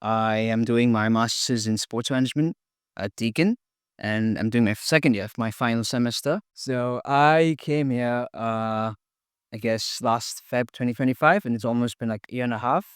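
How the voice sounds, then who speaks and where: A young man in his twenties from India, a podcast guest talking casually with many pauses, fillers and restarts. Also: medium pitch, clean tone, dry recording, studio quality. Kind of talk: casual interview, sharing personal story.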